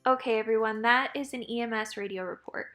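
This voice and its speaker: A woman's voice: a little high, fairly even intonation, fast talker, a bit bright, soft background music. A woman speaking as an instructor and channel host, explaining things in a casual, chatty, encouraging and plain-spoken way. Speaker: EMS educator and channel host. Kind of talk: casual instructional talk with a demonstration radio report.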